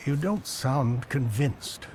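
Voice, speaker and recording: An actor playing a composed, genial male companion in a video game, with a calm, dry delivery. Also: medium pitch, slow pace, slight background noise. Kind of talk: video game cutscene acting.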